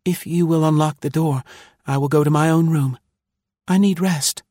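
A man's voice: on the high side, fairly even intonation, moderate pace, mellow, dry recording, studio quality, voice pushed up, lightly acted. A man voicing a frightened young woman who speaks with earnest, dramatic feeling, by turns pleading and firm. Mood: weary.

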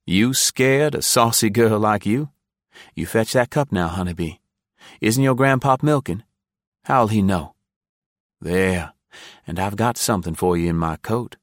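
A man's voice: low voice, moderate pace, dry recording, clean studio sound, lightly acted. Man acting a folksy, coaxing young captive. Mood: pleading playfulness. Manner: folksy, cajoling. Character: young male captive, plucky, coaxing, desperately playful. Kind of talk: audiobook character acting.

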